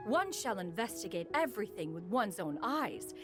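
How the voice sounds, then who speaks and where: A woman's voice: medium pitch, big pitch swings, moderate pace, over music, natural voice. A woman voicing a proud, ancient immortal in a video game, speaking formally and haughtily. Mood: indignant, concerned. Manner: formal, haughty, refers to self as "one". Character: Cloud Retainer, proud ancient crane immortal in woman's form. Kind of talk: video game character acting.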